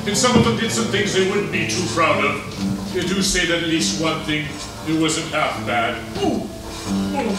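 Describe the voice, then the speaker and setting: A man playing an ageing, wry, secretly noble villain in an old-west stage melodrama, speaking with theatrical hesitant pauses. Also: a little high, lively intonation. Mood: gallant, weary. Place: indoor playhouse stage. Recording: echoey theater from far off, music playing.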